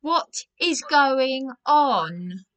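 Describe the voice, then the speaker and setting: A woman's voice: high voice, animated, very slow, a bit bright, clear, resonant tone. A distressed woman making a complaint as a caller, agitated and insistent, repeating her phrases.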